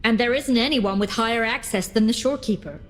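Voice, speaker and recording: A woman playing a cautious, brisk young female tech operative in a game dub, serious and commanding. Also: fairly high pitch, a bit bright, faint music behind, natural voice. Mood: wary. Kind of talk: English game dub acting.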